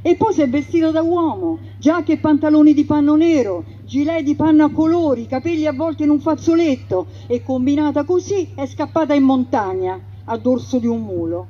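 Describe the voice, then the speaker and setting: A woman narrating a stage story in a theatrical, emphatic way, swinging between loud passages and hushed ones, heard through a radio broadcast. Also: sits high, slightly rough, lo-fi sound.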